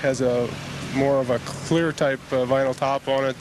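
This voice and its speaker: A middle-aged man from Wisconsin being interviewed about his car outdoors, measured, precise and matter-of-fact. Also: mid-range voice, little pitch movement. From Wisconsin, United States. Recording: noisy outdoor on-location recording.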